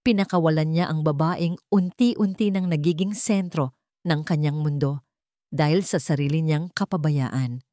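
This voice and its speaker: A woman's voice: low voice, moderate pace, warm tone, no room sound, clean studio sound. A woman with a Filipino accent giving a scripted, dramatic narration of a story.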